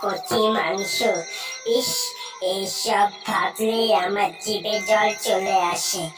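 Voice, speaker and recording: Somebody doing a hungry bald ghost man for a cartoon, slow n menacing, echoey w loud music. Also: mid-range voice, fairly even intonation, distant mic, close to an everyday voice. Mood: hungry brooding. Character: gloating man-eating bald male ghost, hungry for flesh. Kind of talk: Bengali cartoon horror-tale acting.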